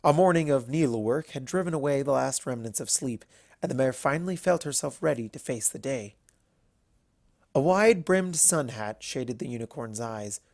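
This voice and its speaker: A storyteller reads scripted fiction with lively, widely moving intonation. The pitch is medium and the reading is expressive. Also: no room sound, clean studio sound. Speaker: narrator.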